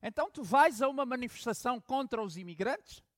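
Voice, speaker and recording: A man from Angola who is a pastor, preaching a sermon in the European manner, telling stories and swinging from loud emphasis to hushed asides. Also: extremely high pitch, expressive, fairly quick, no room sound, clean studio sound.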